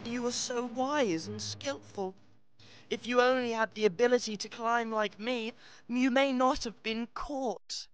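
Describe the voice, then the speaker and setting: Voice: mid-range voice, measured pace, clear, resonant tone, natural voice. A performer voicing a naive, polite, modest cartoon cat in an earnest, plaintive manner. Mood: regretful.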